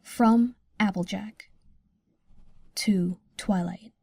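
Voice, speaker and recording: A woman narrating from a script in short, measured announcements. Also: medium pitch, fairly even intonation, creaky, a little mellow, breathy edge, dry recording.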